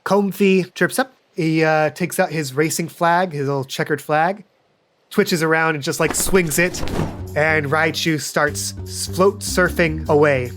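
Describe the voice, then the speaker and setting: A man's voice: a little high, unhurried, fairly bright tone, soft background music. A man narrating an improvised tabletop game as game master, casual and playful in manner.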